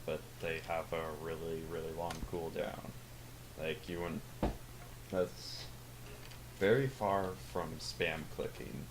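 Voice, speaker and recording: Teen male gamer, deep low voice, rambling fillers, noisy home recording. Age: teens. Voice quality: deep, sounds older than his age. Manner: rambling, hesitant, lots of fillers and self-corrections. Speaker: gaming channel host. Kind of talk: casual gameplay commentary.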